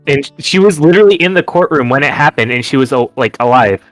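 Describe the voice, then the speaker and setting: A man's voice: medium pitch, lively intonation, fast, a bit bright, no echo, soft background music, low-quality recording. A man playing a tabletop roleplaying game, casually narrating and speaking as his character. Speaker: player.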